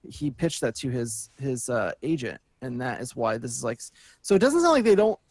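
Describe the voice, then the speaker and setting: A man's voice: mid-range voice, sing-song, fairly quick, a little mellow, no echo. Guy on a panel just chatting, kinda hesitant, very dry sound. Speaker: panelist on a movie news talk show. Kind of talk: casual chat.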